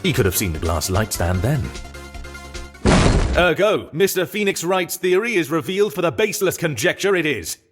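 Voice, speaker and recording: An actor playing a haughty, composed rival prosecutor in a video game drama, formal, pompous and declamatory. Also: male voice, a little high, very animated, normal speed, loud music behind the voice. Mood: assertive.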